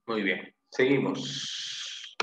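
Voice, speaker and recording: A man's voice: lowish voice, drawn-out words, fairly bright tone. A man teaching an interactive language class, asking questions, repeating answers and confirming them with short praise and tag questions. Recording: echoey room, dull lo-fi sound, faint noise.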